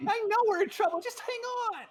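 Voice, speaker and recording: A woman playing an excitable, naive and cocky young boy pilot in a playful amateur comedic script reading. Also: very high voice, quick pace, slight background noise. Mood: urgent.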